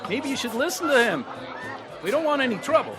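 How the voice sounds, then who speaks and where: A man's voice: very high-pitched, very animated, a little fast. An actor playing a placating but firm nightclub waiter in a radio drama, coaxing as he talks. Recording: music and crowd behind.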